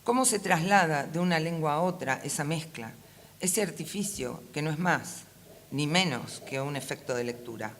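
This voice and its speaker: A woman's voice: sits low, animated. Argentine woman reading, faint background noise. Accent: Rioplatense Argentine. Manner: measured, literary, formal phrasing. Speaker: literary translator, panel speaker. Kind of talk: reading a prepared talk aloud. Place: conference room at a book fair. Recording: faint ambient event noise.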